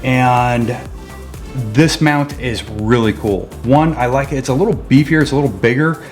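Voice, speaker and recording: A man's voice: mid-range voice, moderate pace, soft background music. A man giving a casual, informal how-to walkthrough of a gear install, explaining as he goes. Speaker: co-host of a vehicle gear channel.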